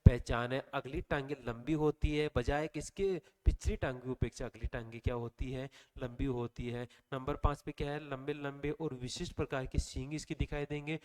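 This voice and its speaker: A man's voice: medium pitch, fairly even intonation, moderate pace, hardly any pauses, a little mellow. A man with a slightly sore throat teaching an exam revision lesson, asking the class questions and answering them himself. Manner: quizzing listeners with repeated questions and answers. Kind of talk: online revision lecture.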